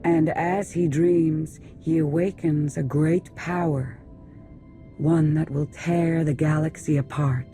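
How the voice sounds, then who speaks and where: A man's voice: fairly high pitch, steady tone, takes it slowly, mellow, faint music behind. An actor playing a solemn, prophetic male alien council leader in a video game, with a formal delivery. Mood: solemn foreboding. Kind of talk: video game cutscene acting.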